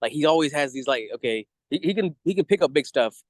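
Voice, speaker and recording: A man co-hosting a casual fan review chat, explaining things in a halting, rambling way. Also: a little high, animated, no echo. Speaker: co-host of a review show.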